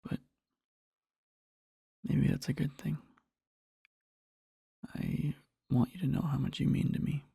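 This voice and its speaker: A man playing a devoted, sleepy boyfriend in an ASMR roleplay, murmuring tenderly and haltingly in a husky whisper, close to the microphone. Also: medium pitch, completely flat delivery, dark tone, no echo, full character voice. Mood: drowsy, affectionate. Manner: slow, halting, rambling, tender. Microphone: close-up microphone, dry.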